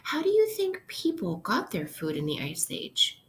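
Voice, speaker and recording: A woman teacher reading aloud an interactive lesson for young children, instructional and pausing to pose questions to her listeners. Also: mid-range voice, huge pitch swings, a little hiss or hum.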